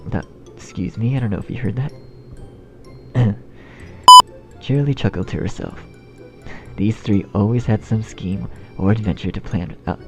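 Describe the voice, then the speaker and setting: A man with a lowish voice reads a story aloud in dull, lo-fi sound under loud music. His narration is halting, and he breaks off to swear at himself in a self-deprecating way. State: shivering from cold. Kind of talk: fan fiction narration outtakes.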